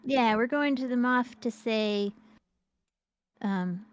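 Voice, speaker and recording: A woman's voice: a little high, slow. A woman co-hosting a game stream, chatting in a playful, rambling way and often restarting her sentences. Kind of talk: casual gameplay chat.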